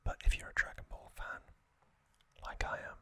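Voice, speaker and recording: A man hosting an ASMR channel, softly whispering trivia in a relaxed chat with frequent "uh" and "um" fillers, close to a sensitive microphone. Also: moderate pace, no echo.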